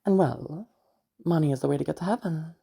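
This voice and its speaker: A very deep, husky female voice with a dark tone plays a cruel, wealthy matriarch acted out by a woman in an audio drama. She is pious and domineering, talking down with taunting contempt and lingering pauses. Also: exaggerated voice. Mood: scornful, righteous. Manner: condescending, taunting, drawn-out pauses and hums. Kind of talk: amateur audio roleplay monologue.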